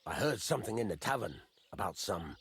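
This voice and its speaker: An actor playing a gruff, fatherly middle-aged master blacksmith in a medieval video game, blunt and folksy, teasing as he talks. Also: on the low side, very animated, brisk, a bit bright, heavily acted. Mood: confiding. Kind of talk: video game dialogue acting.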